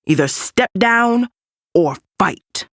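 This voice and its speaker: A woman's voice: mid-range voice, lively intonation, takes it slowly, dry recording, studio quality, voice pushed up. A woman voicing an earnest, determined young male lion prince in a children's storybook. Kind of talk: storybook hero voice.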